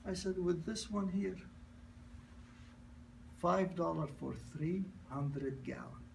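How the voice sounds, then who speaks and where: A gravelly-voiced older man with a non-native accent pitches a product in loose, broken English and drifts into personal stories. His voice sits a little high, and he is caught from a distance with a faint hum of the room behind him. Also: slow. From grew up in New York. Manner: broken grammar, repeats and restarts, storytelling. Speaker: product presenter. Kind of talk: informal sales presentation with anecdotes.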